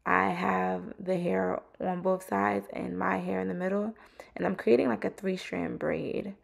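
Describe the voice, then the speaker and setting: A young woman with a raspy voice, chatting casually through a hair tutorial at home, with lots of ums. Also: fairly low pitch, unhurried. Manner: relaxed, chatty, peppered with ums. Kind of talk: casual how-to narration. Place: home bathroom, indoors.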